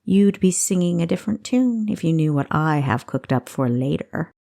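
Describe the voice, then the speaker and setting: Woman voicing a cocky, low-pitched young male rogue. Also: animated, normal speed, vocal fry, mellow. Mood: boastful, persuasive. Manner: sly, boastful, goading. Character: cocky, smooth-talking young male thief, a roguish charmer.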